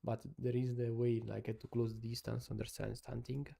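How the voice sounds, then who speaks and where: A man from Italy with an Italian accent, giving halting casual live-stream commentary on taekwondo fights and answering viewers. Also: medium pitch, little pitch movement, gravelly, mellow. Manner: halting non-native English with many "uh"s, chatting with viewers.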